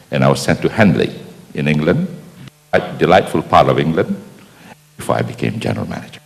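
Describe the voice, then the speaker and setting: A man's voice: low voice, vocal fry, darkish tone. An older man from Malaysia giving a rambling, anecdotal conference speech with many fillers and restarts. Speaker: keynote speaker. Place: large convention hall. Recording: long hall reverb.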